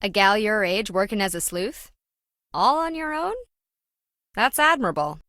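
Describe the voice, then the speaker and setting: A woman's voice: mid-range voice, sing-song, normal speed, bright, forceful tone, no room sound, studio quality. An actress playing a brash, slippery woman treasure hunter in a video game, with wry, breezy, slangy teasing.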